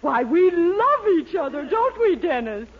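A woman's voice: very high voice, very animated, measured pace, narrow, tinny audio, full character voice. An actress playing a haughty, flustered middle-aged woman in a 1940s radio sitcom, stammering as she backpedals. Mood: wheedling. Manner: flustered, stammering, put-upon grandness. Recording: old radio broadcast, studio audience laughter, hiss.

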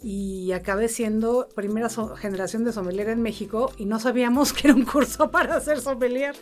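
A woman's voice: fairly high pitch, fairly even intonation, brisk. Chatty middle-aged Mexican woman over music. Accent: Mexican Spanish. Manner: chatty, humorous, colloquial. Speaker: interview guest, wine importer and sommelier. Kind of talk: casual conversational storytelling.

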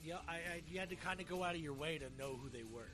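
A man's voice: fairly high pitch, fast, music in the background. A middle-aged man hosting a podcast in casual chat, rambling and often repeating his words. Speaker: podcast host.

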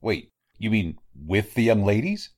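A man playing a gruff, authoritative veteran coroner with a formal, emphatic manner in a 1940s-style radio drama. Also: on the low side, very animated, a little slow, darkish tone. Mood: grave, perplexed.

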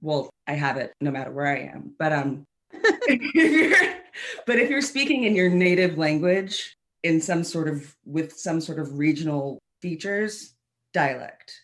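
A woman's voice: low voice, sing-song, a little slow, a bit bright. A woman dialect coach with a Southern American accent, explaining things animatedly and laughing at herself. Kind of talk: explaining in casual interview.

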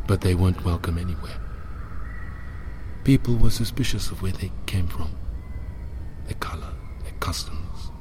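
Very deep, haunted father. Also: background noise. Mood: tender, sorrowful. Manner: hushed, breathy, slow and deliberate. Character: haunted, resigned father speaking a final confession. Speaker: narrator of an audio drama. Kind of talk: dramatic monologue, radio-log sign-off. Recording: audio drama with clear background ambience.

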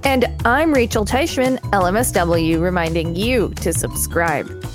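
A woman's voice: lowish voice, expressive, a little slow, music in the background. A woman co-hosting a podcast, reading encyclopedia text aloud with conversational asides. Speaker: podcast co-host. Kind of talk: reading encyclopedia pages aloud with casual commentary.

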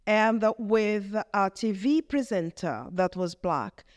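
A middle-aged woman with a Brazilian Portuguese accent, speaking in English as a vicar. She gives an earnest, halting testimony and often restarts her phrases. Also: medium pitch, animated, no echo, studio quality. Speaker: vicar, guest. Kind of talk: reflective testimony in conversation.

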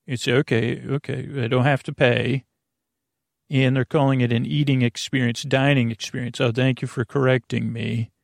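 A gravelly-voiced man hosts a sleep podcast, drifting from thought to thought in a halting, unhurried ramble. He keeps catching and correcting his own words. The sound is dry and close, with no room around it. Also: medium pitch, level tone, no echo. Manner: meandering, halting, self-correcting, drowsy. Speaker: podcast host. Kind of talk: rambling bedtime podcast intro.

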